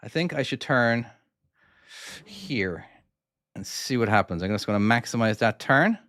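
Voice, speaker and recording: A man's voice: mid-range voice, lively intonation, unhurried, a bit bright, dry recording. Irish man giving casual gameplay commentary. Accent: Irish (Cork). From Ireland (Cork). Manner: casual, talks himself through each maneuver and answers chat questions. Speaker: game developer streaming his own game.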